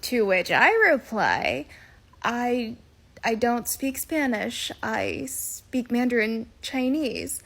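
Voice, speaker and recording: Woman with a bright highish voice, dry and incredulous storytelling. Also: lively intonation, takes it slowly, vocal fry. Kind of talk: casual storytelling to camera.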